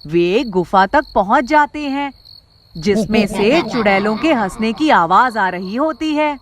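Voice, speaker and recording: A woman's voice: on the high side, animated, unhurried, a crowd in the background. A woman reading scripted story narration in a storyteller's role. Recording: music behind the voice.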